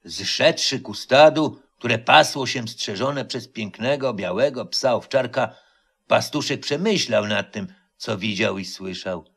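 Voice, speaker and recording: A man narrates an old tale in a solemn, deliberate storytelling style. His pace is slow and his mid-pitched voice has a creaky, gravelly grain. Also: lively intonation, a bit bright. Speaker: storyteller, reader. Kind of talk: dramatic literary narration of a legend, internet radio.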